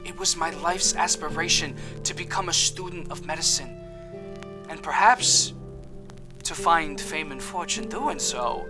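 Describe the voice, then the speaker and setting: A man's voice: fairly high pitch, measured pace, over music, natural voice. An actor playing a cheerful, boastful male apothecary suspect in an audio drama, with a chipper, self-satisfied, theatrical manner. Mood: storytelling.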